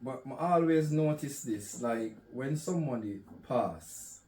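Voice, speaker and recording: A man hosting an interview, asking questions in an unhurried way with brief murmured replies. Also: mid-range voice, animated, darkish tone, a little hiss or hum. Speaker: podcast host. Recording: echoey room, distant mic.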